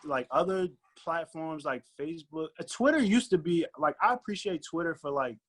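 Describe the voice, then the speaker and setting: A man's voice: fairly high pitch, normal speed, a bit bright. Man chatting casually on a podcast. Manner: colloquial, thinks aloud with repeated "like" and "you know". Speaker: guest recording artist. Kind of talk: casual chat, podcast discussion.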